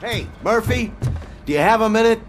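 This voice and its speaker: A man playing a friendly, sheepish police officer in a video game, casual and hesitant, with a creaky voice. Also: sits high, animated, normal speed, faint music behind.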